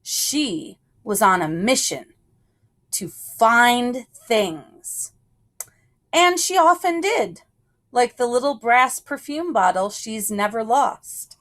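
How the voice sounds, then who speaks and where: A woman with a slightly high voice reads a picture book aloud for children. Her pace is very slow, and she sets phrases apart with deliberate pauses. The delivery is that of measured storytelling. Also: sing-song, a bit bright.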